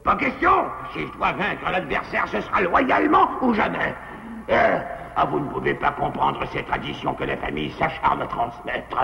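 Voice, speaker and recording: An actor voicing a boastful, honor-obsessed male wolf in a cartoon, blustering and theatrical, with a gravelly voice. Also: fairly high pitch, lively intonation, moderate pace, bright, forceful tone, in a large hall, a little hiss or hum, exaggerated voice. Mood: proud, indignant. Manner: blustering, theatrical, snaps insults. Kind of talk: French cartoon dub.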